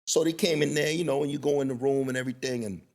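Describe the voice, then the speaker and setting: A man's voice: mid-range voice, fairly even intonation, fast talker, crowd noise. A middle-aged man hosting a podcast, talking loosely and telling stories in a casual, rambling way. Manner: loose, storytelling, lots of "you know what I mean". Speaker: podcast host. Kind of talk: casual conversational interview.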